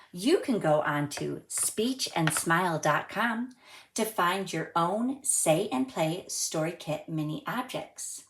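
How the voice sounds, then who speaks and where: Slow, echoey woman reading a children's story. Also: sits low. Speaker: story time host reading a children's book aloud. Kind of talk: children's read-aloud storytelling and friendly presenting to young viewers.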